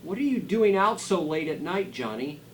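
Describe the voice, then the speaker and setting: A man's voice: on the high side, sing-song, normal speed, a little hiss or hum, pitched above the performer's own voice, low-quality recording. A man voicing a strict, bossy woman schoolteacher from a storybook, barking commands. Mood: scolding. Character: stern, fearless woman schoolteacher, cruel and bossy.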